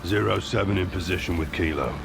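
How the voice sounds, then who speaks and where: An actor playing a grim, terse special forces soldier with a gravelly voice in a video game. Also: male voice, sits low, quick pace. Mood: clipped, on duty. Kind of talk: cinematic video game acting. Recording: music behind the voice.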